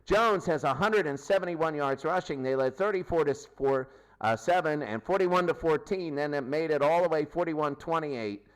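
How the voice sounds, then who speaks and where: A man's voice: fairly high pitch, normal speed, poor audio. A man hosting a sports post-game show, recapping games in an emphatic way and repeating his phrases.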